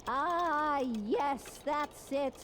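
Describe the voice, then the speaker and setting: High-pitched, distracted nun clockmaker. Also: female voice, extremely slow, a bit bright, a little hiss or hum, heavily acted. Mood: busy, distracted. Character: elderly-seeming, slightly deaf nun clockmaker, gentle and absorbed in repairs. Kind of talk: video game character dialogue.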